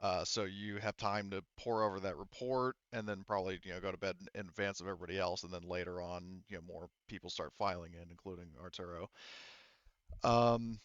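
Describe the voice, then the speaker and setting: Male game master, conversational and halting, smooth voice on a slightly dull recording. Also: sits low, steady tone, fairly bright tone, no room sound. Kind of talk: narrating a tabletop roleplaying game, casual.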